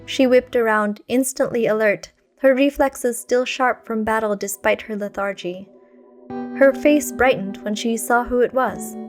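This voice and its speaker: A woman reading a scripted story aloud in an expressive, literary way. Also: on the high side, measured pace, faint music behind. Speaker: fan fiction reader.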